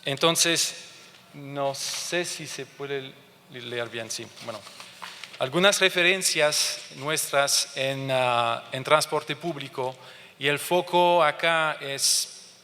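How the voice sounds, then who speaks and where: A halting French Canadian man speaking in a reverberant conference hall. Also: medium pitch, sharp tone, slight background noise. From France and Canada. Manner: halting, searching for words, many restarts. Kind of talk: conference presentation.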